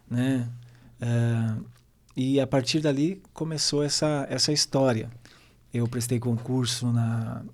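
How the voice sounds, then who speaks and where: A middle-aged man from western Santa Catarina, telling his life story as a guest in a relaxed podcast chat. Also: medium pitch. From western Santa Catarina, Brazil. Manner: relaxed storytelling, frequent tag questions. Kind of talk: casual podcast conversation.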